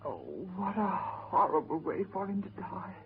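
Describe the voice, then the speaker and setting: A woman's voice: mid-range voice, normal speed, lightly acted. An actress playing an anxious, horrified woman in melodramatic 1940s radio horror style. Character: anxious, horrified woman lost in a haunted forest. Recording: tinny, noisy 1940s radio recording.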